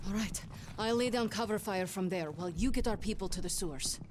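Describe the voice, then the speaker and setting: Woman playing a tough Russian woman sniper, low-voiced. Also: a little fast, noisy background, close to an everyday voice. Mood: resolute. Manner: commanding, clipped, defiant. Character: tough, determined young Soviet woman sniper. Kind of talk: dramatic video game cutscene acting. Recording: music behind the voice.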